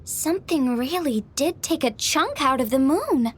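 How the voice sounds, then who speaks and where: A woman playing an earnest young village woman in a video game, wide-eyed, expressive and a little flustered. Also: high voice, unhurried, slight background noise. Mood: amazed, flustered.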